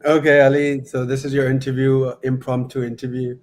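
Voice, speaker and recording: A man's voice: medium pitch, flat delivery, brisk, darkish tone. A man with a Pakistani accent politely asking questions in a casual, impromptu interview. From Lahore, Pakistan. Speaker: guest acting as interviewer.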